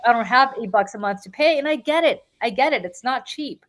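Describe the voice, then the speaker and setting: A middle-aged woman hosting a podcast in casual political chat, blunt and animated, often repeating words for emphasis. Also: on the high side, fairly quick. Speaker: podcast host.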